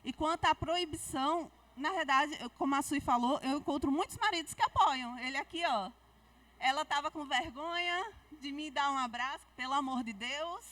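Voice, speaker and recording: Woman author from Paraíba, Paraíba accent, high bright pitch, frank and animated, picked up dry from a distance. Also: normal speed, dry recording. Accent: Paraíba Brazilian Portuguese. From Paraíba, Brazil. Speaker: author on a panel. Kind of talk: panel discussion at a book fair. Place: book fair stage.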